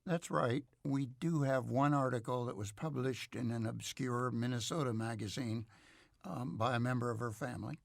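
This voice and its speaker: A middle-aged or older man speaking as an expert interview guest, reflective and measured, with halting, scholarly phrasing. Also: mid-range voice, gravelly, no room sound, clean studio sound.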